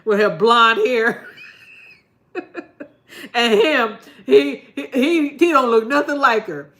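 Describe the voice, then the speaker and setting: A woman's voice: on the high side, slow, fairly bright tone. A middle-aged woman from New York chatting casually to her gardening channel, rambling and laughing often. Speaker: gardening vlogger. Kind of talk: casual chat to camera.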